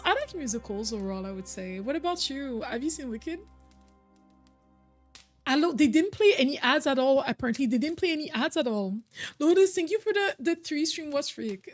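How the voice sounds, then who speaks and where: A young woman whose first language is French, chatting casually with her viewers on a live stream, full of "like" and repeated phrases. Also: high-pitched. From Quebec, originally Cameroon.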